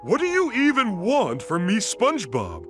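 A man's voice: high-pitched, wildly swooping pitch, moderate pace, over music. A man voicing a grumpy, fed-up adult male starfish in a fan-made story, speaking in a cold, scolding way.

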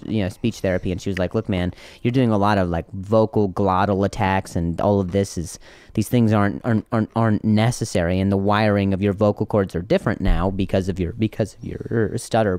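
Young guy with a stutter chatting on a podcast couch, lowish voice, clean and smooth. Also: steady tone, brisk, darkish tone, dry recording. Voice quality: stutter with repeated sounds. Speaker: guest comedian. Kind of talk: casual podcast chat. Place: studio lounge with leather couches. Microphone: boom mic on arm.

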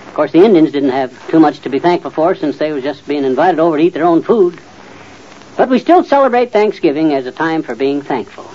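An actor playing a blustery, folksy, know-it-all middle-aged husband in an old-time radio comedy. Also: male voice, a little high, animated, a little fast, dark tone. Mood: folksy, explaining. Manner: folksy, boastful, muttering and sputtering. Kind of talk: 1940s-50s radio comedy acting. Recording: muffled old radio recording.

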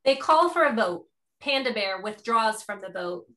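A woman's voice: mid-range voice, expressive, moderate pace, pushed and bright. A woman narrator reading stage directions as scripted narration.

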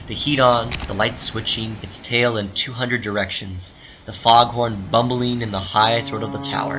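A man's voice: on the low side, low-quality recording. A man giving a dramatic scripted narration of a story as the narrator of an audio drama.

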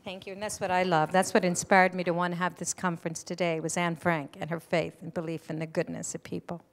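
An American woman in her sixties hosting a live event, speaking emphatically and deliberately into a handheld microphone. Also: lowish voice, level tone. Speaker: event host and moderator. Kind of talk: addressing a live audience on stage. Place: auditorium stage before an audience. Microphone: handheld stage microphone.

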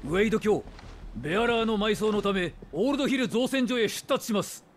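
Casting: an obedient game soldier, high-pitched, formal, reporting to his commander. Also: lively intonation, a little fast. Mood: dutiful, brisk. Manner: crisp, formal military reporting. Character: dutiful male resistance soldier reporting to commander. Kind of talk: Japanese video game voice acting.

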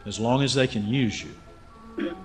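A man plays an earnest, stubborn writer in a radio drama. He is blunt and insistent, at a middle pitch, with faint music. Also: slow, natural voice. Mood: accusing. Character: earnest, stubborn American writer, accusing old friend. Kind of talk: radio drama acting and narration.

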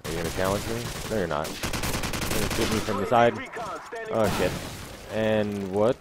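Young man, low pitch, casual gaming commentary, brash and foul-mouthed, headset mic, loud game gunfire in the background. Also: moderate pace, noisy background. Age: young adult. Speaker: gaming video host. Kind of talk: casual live gameplay commentary. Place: small room indoors.

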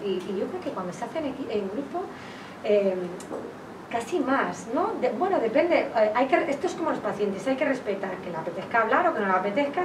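A woman's voice: on the high side, sing-song, brisk. A woman in her forties from La Mancha with a Castilian Spanish accent, chatting to an audience as a nurse and author, speaking through a face mask. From Valdepeñas, Castile-La Mancha, Spain. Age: 40s. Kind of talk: conversational public talk with anecdotes. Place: indoors, public library reading area. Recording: echoey room, distant microphone, clear background noise.

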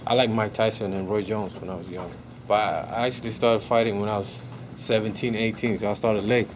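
Laid-back young boxer from Sweden. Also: male voice, fairly low pitch, fairly quick. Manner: relaxed, short laid-back answers. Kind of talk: casual interview answers. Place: hotel event hall indoors. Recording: noticeable background noise.

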